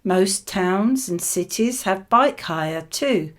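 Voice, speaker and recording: A woman reading scripted model answers for a spoken exam, with measured, clearly phrased delivery. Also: medium pitch, expressive.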